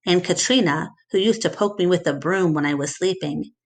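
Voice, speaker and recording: A woman with a slightly low voice reads a storybook aloud. She narrates expressively, as a storyteller does for listeners following a book. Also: moderate pace.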